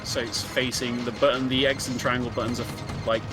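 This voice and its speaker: A man giving casual, explanatory speedrun commentary in a somewhat halting way. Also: medium pitch, level tone. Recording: game music behind the voice. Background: video game music.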